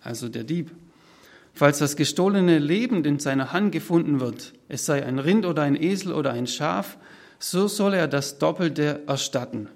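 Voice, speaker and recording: A man's voice: a little high, expressive, moderate pace. A man preaching a sermon, explaining step by step and speaking freely to his listeners. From Swabia, southern Germany. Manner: explanatory, structured, addresses listeners directly. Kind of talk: sermon, spoken freely from notes to a congregation.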